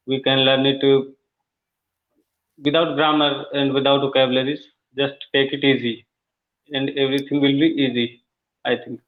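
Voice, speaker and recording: Hesitant Indian man on a tinny call. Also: mid-range voice. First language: Hindi. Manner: hesitant, many fillers and restarts. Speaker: English learner. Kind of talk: spoken English practice on a video call.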